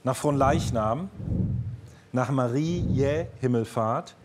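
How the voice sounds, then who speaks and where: A man's voice: medium pitch, takes it slowly, darkish tone, slight background noise. A man hosting a quiz show, explaining answers in an unscripted way.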